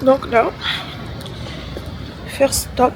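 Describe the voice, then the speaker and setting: A woman's voice: high-pitched, flat delivery, drawn-out words, creaky, background noise. A young woman chatting casually to her viewers, thinking aloud with fillers. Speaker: vlogger. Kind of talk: casual vlog chat to camera.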